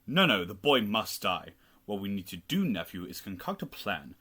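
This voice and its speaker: A man's voice: on the low side, sing-song, normal speed. A young man in a student radio play voicing a cruel, scheming king who talks in a haughty, sneering way. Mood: plotting. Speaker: student actor. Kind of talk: amateur radio play acting.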